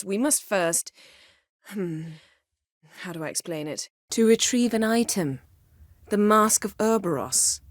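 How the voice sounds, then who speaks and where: An actress playing a proud, sharp-tongued, guarded sorceress in a video game, speaking in a cool, clipped, dryly sardonic way. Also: female voice, mid-range voice, no echo, clean studio sound, close to an everyday voice. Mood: cool, composed. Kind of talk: scripted game dialogue acting.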